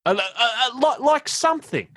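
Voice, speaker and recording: An actor in a radio play playing a laid-back young stoner philosopher who rambles and stumbles through slangy musings. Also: male voice, extremely high pitch, expressive, moderate pace, bright, forceful tone, dry recording, clean studio sound, heavily acted. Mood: earnest rambling.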